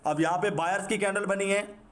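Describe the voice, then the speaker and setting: A man's voice: high voice, fairly even intonation, brisk, a bit bright. A man with a Pakistani accent and a sore throat, teaching in an unscripted, repetitive screen-share tutorial style and mixing in English terms. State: sore throat, clearing it now and then. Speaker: trading instructor.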